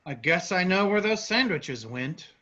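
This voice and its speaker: A woman's voice: lowish voice, big pitch swings, measured pace, a bit bright. A woman playing a friendly, easygoing travelling actor in a staged play reading. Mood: friendly, wry. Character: friendly, open-minded troupe member from Atlanta. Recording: muffled room recording.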